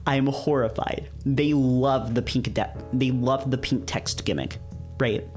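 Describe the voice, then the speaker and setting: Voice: medium pitch, measured pace, darkish tone, faint music behind. A streamer who reads visual novels aloud and chats casually, often repeating phrases when reacting. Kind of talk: livestream read-aloud with casual chat.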